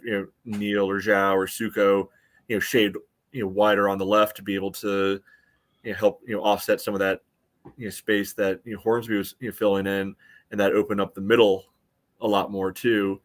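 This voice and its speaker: An American man with a fairly low voice works through sports analysis as a podcast co-host. He speaks casually, in a measured, conversational manner. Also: fairly bright tone. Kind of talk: casual soccer match analysis.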